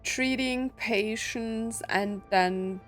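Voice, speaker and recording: A woman's voice: on the high side, drawn-out words, a bit bright. A woman gaming streamer giving casual reactions to a story, musing aloud sympathetically. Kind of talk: casual live reaction commentary. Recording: game music behind commentary. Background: game music.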